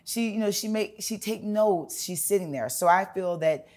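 A raspy-voiced woman in her forties, a talk-show guest, talking bluntly and animatedly in a studio interview. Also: medium pitch, normal speed. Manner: animated, blunt gossip with bursts of laughter. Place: bright studio set with city view.

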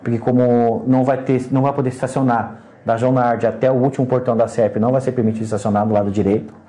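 Mellow middle-aged man. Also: mid-range voice, flat delivery, fast talker. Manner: frequent "né" tags. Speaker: traffic agency official being interviewed. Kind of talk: explanatory interview answers. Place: indoors at radio station.

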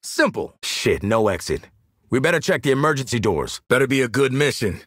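An actor with an American accent playing a gruff, sardonic assassin in a video game, blunt and slangy, with a raspy voice. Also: male voice, mid-range voice, sing-song, normal speed, a bit bright, no room sound, clean studio sound. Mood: gruff, exasperated. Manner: brash, blunt, street-smart slang, barking callouts. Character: gruff, no-nonsense male assassin, sardonic squad sharpshooter. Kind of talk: video game combat voice lines.

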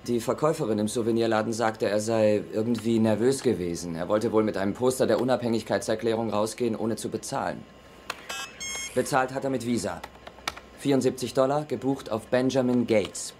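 A man's voice: on the low side, fairly quick, a little mellow, a little hiss or hum, lightly acted. An actor playing a matter-of-fact male agent giving a report in a film dub. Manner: factual. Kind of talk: German film dub acting. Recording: film soundtrack with crowd murmur.

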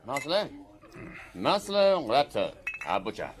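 Gossipy village innkeeper, animated pitch. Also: male voice, a little slow, slight background noise. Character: chatty, sympathetic male village innkeeper. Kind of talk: radio drama acting.

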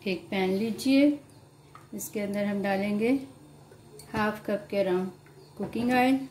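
A woman with an Urdu-influenced Hindustani accent calmly explains a home cooking recipe step by step to viewers. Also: medium pitch, measured pace, slight background noise. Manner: calm, explanatory, addresses viewers directly. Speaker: home cooking channel host. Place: home kitchen at the stove.

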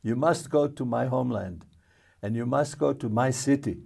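An older man from Jerusalem, a guest in a TV studio interview, speaking slowly with many pauses and restarts into a lapel mic. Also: medium pitch, gravelly, a little mellow. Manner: slow, halting, with many fillers and restarts.

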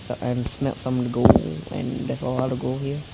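A man's voice: mid-range voice, flat delivery, moderate pace, vocal fry, very noisy. Sick-sounding teenage boy gamer. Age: teens, fourteen. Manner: rambling, chatty, many restarts. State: weak voice from recent illness. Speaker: gameplay commentator. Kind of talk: casual let's-play commentary.

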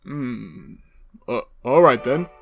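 An actor voicing a weary, gentle, evasive skeleton older brother with hesitant, subdued speech in a fan comic dub. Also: mid-range voice, expressive, slow, creaky, soft background music. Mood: tired, evasive.

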